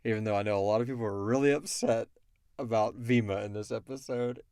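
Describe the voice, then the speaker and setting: A man with a fairly low voice talks as a show host. His delivery is informal and animated, with emphatic points in a casual chat. The sound is dry, with little room on it. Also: fairly quick, clean tone, no echo. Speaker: host and creator of an audio drama podcast. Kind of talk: casual chat answering listener questions.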